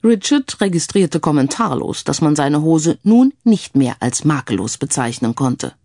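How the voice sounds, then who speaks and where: Expressive, lower-pitched female narrator. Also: unhurried, no room sound, clean studio sound. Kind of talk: scripted crime story narration.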